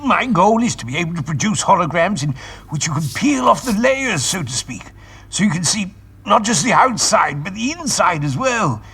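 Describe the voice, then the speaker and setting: Man playing older titled scientist, retired, genial, enthusiastic, raised lively pitch, audio drama. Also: moderate pace, husky, full character voice.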